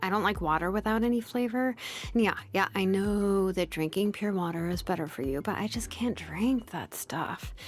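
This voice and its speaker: A woman voicing a laid-back, sleepy young female blacksmith and name engraver from a video game, languid and nonchalant with soft hesitations. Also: mid-range voice, normal speed, natural voice. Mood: relaxed, casual. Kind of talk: video game character voice lines. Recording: music behind the voice. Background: music.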